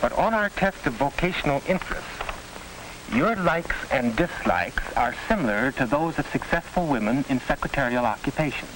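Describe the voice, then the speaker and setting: An American actor playing a reassuring school counselor in a 1940s instructional film, with formal, deliberate, didactic delivery. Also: male voice, medium pitch, animated, a bit bright, close to an everyday voice. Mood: measured, reassuring. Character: measured, reassuring male school vocational counselor. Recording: noisy, hissy 1940s film soundtrack.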